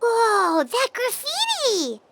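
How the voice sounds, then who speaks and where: A woman voicing a small, chatty, childlike fairy companion in a video game, with a squeaky voice and an earnest manner. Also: huge pitch swings, slow pace, background noise, full character voice. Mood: excited, curious. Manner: refers to self in third person, exclaiming.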